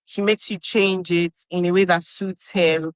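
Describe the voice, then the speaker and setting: A woman's voice: lowish voice, steady tone, a little slow, smooth, dry recording. Woman, articulate and opinionated. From Nigeria. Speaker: podcast guest. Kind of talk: casual conversation.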